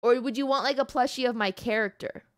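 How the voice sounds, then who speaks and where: A woman's voice: fairly high pitch, fairly even intonation, fast talker, no room sound, studio quality. A woman livestreamer chatting casually and playfully with her live audience in an informal, mildly sweary way. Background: background music.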